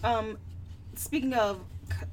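A woman's voice: fairly high pitch, steady tone, slow. A woman co-hosting a book podcast, talking casually in a candid, opinionated, colloquial way. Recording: distant mic with background noise.

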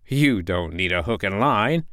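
A man's voice: on the low side, lively intonation, a little slow, sharp tone, clean tone, no room sound, studio quality. A man voicing a sly, tricky male fox in a bedtime story, speaking in a coaxing way.

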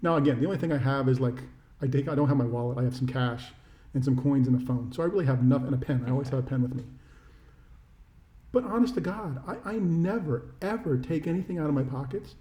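A man's voice: medium pitch, fast talker, mellow. A middle-aged American man co-hosting a podcast, chatting casually about sports in a wry, self-deprecating, rambling way, with a roomy echo. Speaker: podcast co-host. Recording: roomy, echoey sound.

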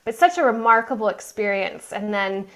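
A woman's voice: mid-range voice, animated, moderate pace, fairly bright tone. Earnest woman guest telling her story. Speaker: guest, mind coach. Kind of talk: interview storytelling.

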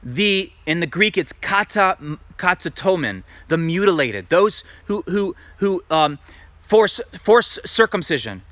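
Lively, bright-voiced male preacher. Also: sits high, takes it slowly, no echo. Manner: emphatic, rising to loud peaks when reading scripture; asks the congregation direct questions. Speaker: messianic congregation teacher. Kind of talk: sermon with scripture reading.

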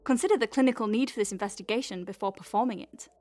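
A woman's voice: a little high, fast, darkish tone, dry recording, natural voice. A woman playing a friendly, wry doctor at a space clinic in an audio drama, speaking in a hesitant, conversational way. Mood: sheepish, wry. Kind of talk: naturalistic audio drama acting.

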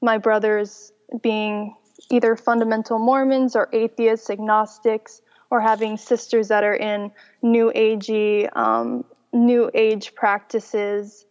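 A young woman from Mexico tells her story with cheerful ease and a slightly higher voice. The audio is muffled and lo-fi, with a long, lingering reverb behind her. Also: takes it slowly, darkish tone. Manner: cheerful, laughs often. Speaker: interview guest. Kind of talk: personal testimony in conversation.

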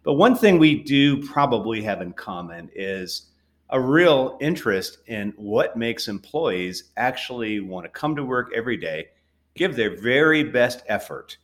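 Lively American male podcast host. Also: medium pitch. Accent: General American. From United States. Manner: chatty, rephrases to check understanding. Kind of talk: interview questions.

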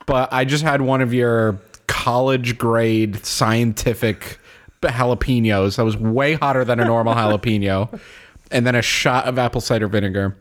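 A man co-hosting a casual podcast, congested and coughing, joking and laughing at himself. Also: medium pitch. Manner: joking, self-deprecating, laughs often. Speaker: podcast co-host.

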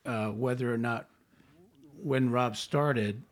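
A man hosting a podcast interview, giving short encouraging replies and asking gentle questions. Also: medium pitch, steady tone, slow. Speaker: podcast host. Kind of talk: casual interview.

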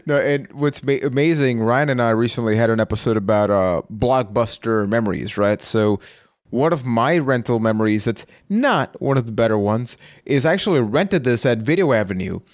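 A man from Puerto Rico with a Puerto Rican accent, co-hosting a casual podcast chat and telling animated stories. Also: mid-range voice, normal speed, no room sound, clean studio sound. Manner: animated storyteller, laughs often. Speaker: podcast co-host.

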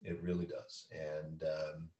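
Low voiced guy hosting a podcast chat, lots of ums, kinda far off the mic in a roomy space. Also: completely flat delivery, warm tone, dull audio. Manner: halting, many ums and uhs. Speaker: podcast host. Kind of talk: casual interview conversation. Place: Newfoundland. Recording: echoey room, away from mic.

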